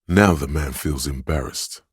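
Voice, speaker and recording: A man voicing a suave, insinuating inner voice of persuasion in a video game, narrating in a measured, knowing, gently coaxing way. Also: dry recording, clean studio sound, exaggerated voice. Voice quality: very deep, husky. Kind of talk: scripted video game narration in second person.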